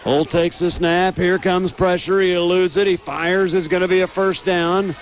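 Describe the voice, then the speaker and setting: A man's voice: high voice, level tone, moderate pace, a little hiss or hum. An American man doing live radio play-by-play commentary of a football game.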